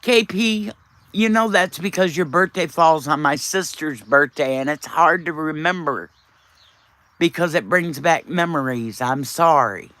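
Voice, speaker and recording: A man's voice: on the high side, measured pace, sharp tone, no room sound. A man, chatting casually and teasingly with viewers on his live stream. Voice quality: raspy.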